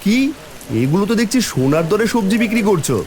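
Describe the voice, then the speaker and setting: A man's voice: sits high, lively intonation, quick pace, a little mellow. A man playing a friendly, plain-spoken village farmer in a cartoon, companionable in manner. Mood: surprised. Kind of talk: Bengali cartoon voice acting.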